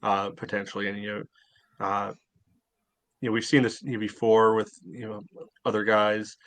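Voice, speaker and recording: A man's voice: on the low side, little pitch movement, moderate pace, a bit bright, no echo. A man co-hosting a casual sports podcast, rambling and leaning on filler phrases. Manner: rambling, many "you know" fillers. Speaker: podcast co-host.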